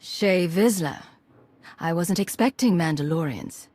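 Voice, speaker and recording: A decisive female military commander in a video game. Her voice sits low, with a measured, authoritative delivery and a wary edge. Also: lively intonation, a little mellow, dry recording. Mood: resolute, wary. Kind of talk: video game cutscene acting.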